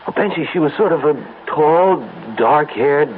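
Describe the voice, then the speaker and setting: A man's voice: a little high, normal speed, close to an everyday voice. An actor playing a weary, stammering male advertising executive in a 1970s radio drama. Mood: bewildered. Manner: stammering, flustered. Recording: noisy, phone-like old radio broadcast.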